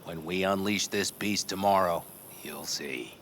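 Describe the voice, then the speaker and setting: A man's voice: low-pitched, a little slow, a bit bright, slight background noise. A man playing a proud, eager nomad mechanic in a video game, with a showy, folksy manner.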